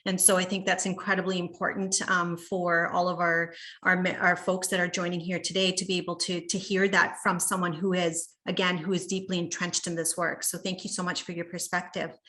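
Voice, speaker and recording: A woman's voice: fairly low pitch, flat delivery, fairly quick, bright, forceful tone. A woman moderating a panel over a video call, speaking hesitantly with many "um"s and restarts, thanking each speaker.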